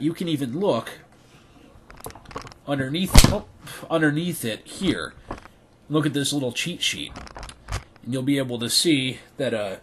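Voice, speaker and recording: A man giving a casual hands-on tutorial as a video creator, thinking aloud haltingly in a small bedroom. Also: medium pitch, slight background noise. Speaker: video creator demonstrating radio gear.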